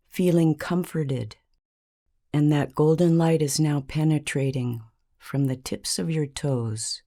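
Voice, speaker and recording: A woman narrating a scripted guided meditation in a calm, measured, soothing manner with long pauses. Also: low-pitched.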